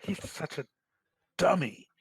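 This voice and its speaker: A man's voice: takes it slowly, slight background noise. A man cracking snarky, crude jokes over a staged reading. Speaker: commentator. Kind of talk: casual joking commentary.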